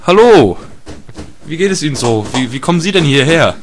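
A man's voice: a little high, big pitch swings, fairly quick, slight background noise. A man playing a naive, chatty tourist in an improvised absurd audio comedy, hesitant and repetitive, often tacking on tag questions. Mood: bemused, friendly. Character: chatty, naive male tourist, placidly captured.

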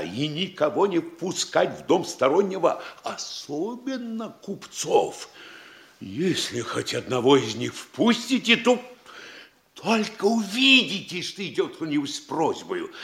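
Актёр в театральной манере радиоспектакля играет немолодого провинциального городничего, который то заискивает, то командует, хрипловатым голосом. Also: male voice, on the high side, fairly bright tone, husky, heavily acted. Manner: theatrical, shifting from ingratiating to commanding. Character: blustering, wheedling middle-aged town mayor, provincial Russian official. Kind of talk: theatrical radio-play acting of classic comedy.

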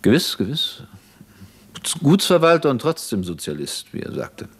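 An actor playing a zealous, self-assured revolutionary commander in a German radio play, didactic and needling. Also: male voice, mid-range voice, very animated, normal speed, breathy edge.

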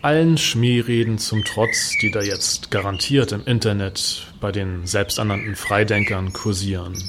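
A man playing a self-righteous political action artist in a satirical radio play, pompous and self-justifying in manner. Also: lowish voice. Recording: clear background noise with birdsong.

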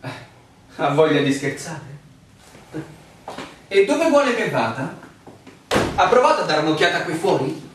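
An actor playing a polite but indignant traveler seeking shelter, with formal, theatrical delivery in a stage drama. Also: male voice, a little high, sing-song, unhurried, long pauses, a bit bright. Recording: distant, very echoey room, faint noise.

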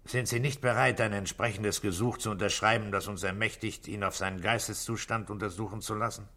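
An actor playing a calm, middle-aged police inspector in a radio drama, questioning in a measured, dry way. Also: male voice, fairly low pitch. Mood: pointed, dry. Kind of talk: 1960s German radio drama acting.

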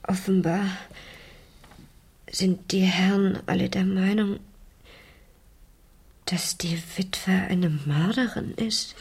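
Very breathy, subdued teenage girl. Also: medium pitch, steady tone, darkish tone, full character voice. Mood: quietly defiant. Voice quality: breathy, husky. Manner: halting, subdued, half-whispered. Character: hurt, bookish fifteen-year-old girl, self-doubting yet defiant. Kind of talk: 1970s German radio crime drama acting.

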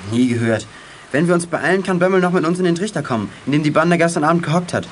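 A male actor playing a decisive teenage gang leader in a children's audio drama, brisk and matter-of-fact. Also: a little high, lively intonation, a little hiss or hum, lightly acted. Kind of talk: 1980s German children's audio drama acting.

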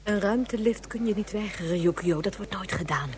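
A woman's voice: mid-range voice, a little fast, close to an everyday voice. A woman playing a frank, level-headed space traveller who admonishes her companion matter-of-factly in a science-fiction radio drama. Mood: calm. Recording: clear buzzing ship noise behind.

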